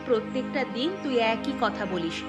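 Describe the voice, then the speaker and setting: A woman's voice: fairly high pitch, animated, a little fast, over loud music, lightly acted. A woman voicing a kind, sensible elder daughter-in-law in a cartoon village tale, patient and reasonable. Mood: reproachful. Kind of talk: Bengali cartoon fairy-tale dubbing.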